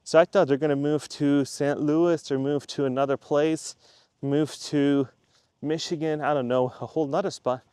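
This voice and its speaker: A man from Switzerland talks straight to his viewers in a loose, rambling way, circling back to the same point again and again. His voice sits a bit higher than most men's. The sound is dry and close, with no room echo. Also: fairly even intonation, moderate pace, a little mellow, clear, resonant tone. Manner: chatty and rambling, repeats himself for emphasis. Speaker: YouTube creator. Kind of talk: casual talk to camera.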